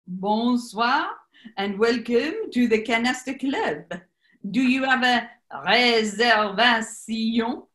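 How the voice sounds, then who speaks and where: Woman playing a high-voiced flamboyant French maitre d'. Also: expressive, takes it slowly, a bit bright. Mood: formal, obliging. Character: French maitre d', formal, flamboyant man with theatrical manners. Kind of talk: 1940s radio comedy acting.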